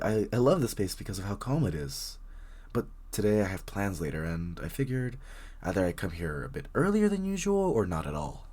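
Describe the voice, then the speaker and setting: Guy playing a shy friendly writer dude for an audio roleplay, lowish husky voice, stammers a bit, pitch goes up and down a lot. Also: darkish tone. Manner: hesitant, stammering, nervous little laughs. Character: very shy, friendly young writer in a coffee shop. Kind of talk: intimate one-sided roleplay acting, casual chat.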